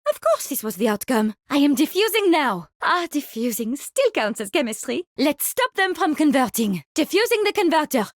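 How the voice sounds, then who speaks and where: A woman's voice: high voice, big pitch swings, moderate pace, hardly any pauses, no echo, clean studio sound. An actress voicing a polite yet sinister woman virologist in a video game, with a French accent and a theatrical, articulate delivery. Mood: wry, disappointed. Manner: theatrical, articulate, mock-courteous. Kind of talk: video game character voice lines.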